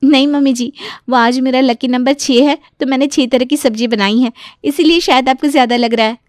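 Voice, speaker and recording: A woman's voice: sits high, steady tone, fairly quick, darkish tone, no room sound, studio quality, lightly acted. A woman playing an earnest, superstitious young bride who explains insistently in a cartoon story.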